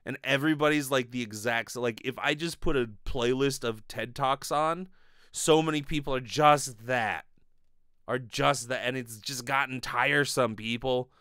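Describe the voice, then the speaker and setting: A man in his thirties giving a casual comic monologue as a podcast host, animated, rambling and sweary, close on a desk mic. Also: mid-range voice, normal speed, smooth, dry recording. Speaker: podcast host, improv performer. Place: small bedroom, indoors. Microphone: desk condenser mic with pop filter, close.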